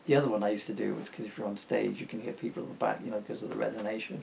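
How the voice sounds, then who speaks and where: A man's voice: on the low side, little pitch movement, rapid-fire, vocal fry, darkish tone, slight background noise. A middle-aged man reading a children's story poem in a theatrical, jokey way, with chatty asides. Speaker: storybook reader and author.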